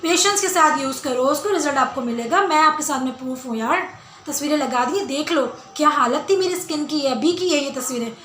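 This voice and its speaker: A woman with a loud voice talking casually to her viewers as a beauty vlogger, emphatic and insistent, repeating phrases for stress. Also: high-pitched, fairly quick, slight background noise. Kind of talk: casual skincare Q&A talk to viewers. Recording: roomy, echoey indoor sound.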